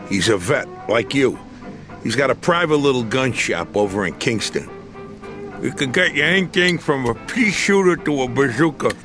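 A man plays a young hoodlum in a crime game. He is a brash smart aleck with a lively, mid-pitched voice, and music plays strongly behind him. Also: moderate pace, natural voice. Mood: brash, wry. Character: brash, wisecracking young gangster sidekick. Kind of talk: video game cutscene acting.

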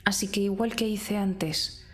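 A woman's voice: medium pitch, steady tone, unhurried, soft background music. A woman playing a tabletop roleplaying game, casually describing what her character does and feels.

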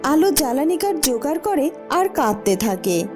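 A woman's voice: high-pitched, sing-song, moderate pace, over music. A woman reading scripted narration for a children's cartoon story.

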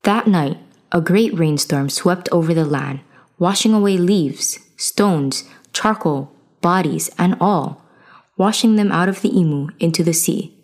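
A woman reads a folktale aloud as a storyteller. Her voice sits a little low, and the delivery is scripted storybook narration. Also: measured pace, a little mellow.

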